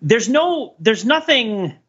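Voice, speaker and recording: An animated man with a bright, forceful voice chats casually as a podcast co-host. He rambles in a jokey way and circles back over his phrases. The recording is a bit dull and lo-fi. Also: very high-pitched, slow, slightly rough. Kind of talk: casual pop-culture discussion.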